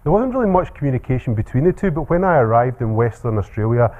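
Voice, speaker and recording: A man with a Scottish accent giving a relaxed academic seminar talk with frequent ums and uhs. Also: medium pitch, fast talker. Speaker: lecturer. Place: seminar room. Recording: tinny phone-like sound.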